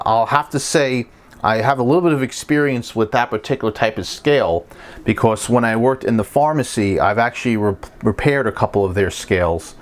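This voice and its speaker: A man giving a casual, hands-on repair tutorial as a technician, talking in a plain-spoken, conversational and explanatory way. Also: lowish voice.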